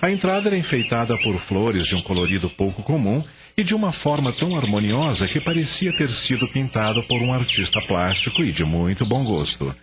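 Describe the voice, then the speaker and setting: Deep, expressive male radio-drama narrator. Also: noisy background. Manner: measured, expressive storybook delivery. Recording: dull lo-fi audio with music behind.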